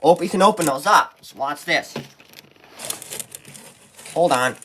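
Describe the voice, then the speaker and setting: A man's voice: fairly high pitch, expressive, measured pace, a bit bright. A man giving a casual hands-on tutorial in an informal, folksy, rambling way, recorded on a handheld camera. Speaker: tutorial presenter. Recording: clear background noise, handling clicks.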